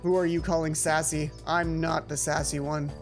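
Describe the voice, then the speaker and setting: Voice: mid-range voice, level tone, moderate pace, soft background music, natural voice. A let's play reader voicing a sassy, melodramatic robot bird in a theatrical, haughty manner.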